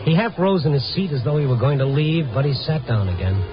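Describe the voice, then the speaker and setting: A man's voice: a little high, big pitch swings, fast talker. An American man playing a wry, curious, world-weary newspaper reporter, narrating and acting in a 1950s radio drama. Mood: tense narration. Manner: wry, hardboiled storytelling. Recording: muffled old radio recording, dramatic music behind.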